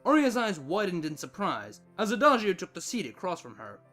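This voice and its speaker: High-pitched, animated male story narrator. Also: a little fast, soft background music.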